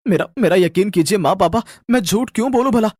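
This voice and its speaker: A man's voice: high voice, fast, a little mellow, no room sound, studio quality. A man playing a scared, insistent college-age son in a horror story, anxious and stammering. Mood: pleading insistence.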